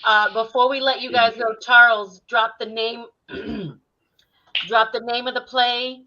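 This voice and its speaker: A woman co-hosting a live talk stream, slightly nasal from a recent head cold, playful and quick to laugh. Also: fairly high pitch, level tone, pushed and bright, dull audio, slight background noise. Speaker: talk show co-host. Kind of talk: casual live-stream chat.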